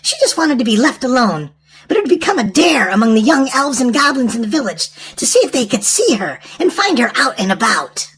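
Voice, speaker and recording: A woman reading a storybook aloud to young children in an animated, sing-song way. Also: on the high side, brisk, crowd noise. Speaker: children's storytime host and reader.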